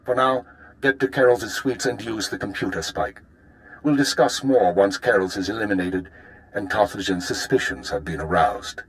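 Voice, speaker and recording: An actor playing a calm, calculating imperial intelligence handler in a video game, briefing formally and in measured phrases. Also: male voice, fairly low pitch, natural voice, rough recording. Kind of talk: dramatic video game acting.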